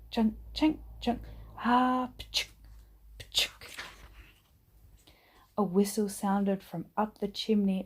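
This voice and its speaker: A woman reading a children's bedtime story aloud in an expressive, acted-out manner. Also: on the low side. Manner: animated, acts out dialogue and sound words. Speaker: storybook reader.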